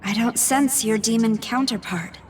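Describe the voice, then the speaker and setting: A woman's voice: on the high side, moderate pace, slight background noise, close to an everyday voice. An actress playing a thoughtful, idealistic young woman in a video game, speaking earnestly and with some hesitation. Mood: earnest, compassionate. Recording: dramatic music behind the voice.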